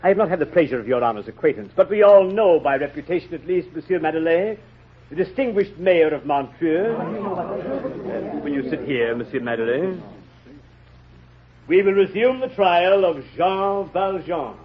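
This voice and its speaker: A man's voice: fairly high pitch, expressive, normal speed, crowd noise, close to an everyday voice. A man playing a stern, formal judge in an old radio drama, speaking with pompous authority. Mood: courteous, formal. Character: stern, formal male court judge, courteous yet commanding. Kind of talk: 1930s radio drama acting. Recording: dull old radio recording.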